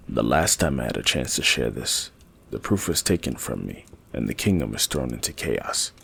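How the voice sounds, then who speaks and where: A man playing a learned, guarded older mage in a fantasy audio drama, speaking formally and deliberately in a gravelly, husky voice. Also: extremely low pitch, big pitch swings, fairly bright tone, exaggerated voice. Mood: grave, confiding. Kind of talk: fantasy audio roleplay acting.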